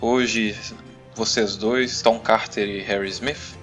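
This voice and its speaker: Low-voiced Brazilian man narrating, music behind. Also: fairly even intonation, slow. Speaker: podcast narrator and game master. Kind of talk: narrating a tabletop roleplaying adventure.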